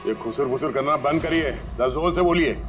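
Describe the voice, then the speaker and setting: An actor playing a stern, sarcastic police detective who questions suspects with pointed rhetorical questions in a TV crime drama. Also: male voice, fairly high pitch, brisk, over music, close to an everyday voice. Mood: suspicious, pressing. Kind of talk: Hindi police procedural dialogue.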